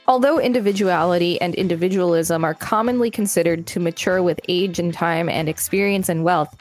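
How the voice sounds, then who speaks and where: A woman co-hosting a podcast, reading an article aloud in a measured way with light commentary. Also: on the low side, a little mellow.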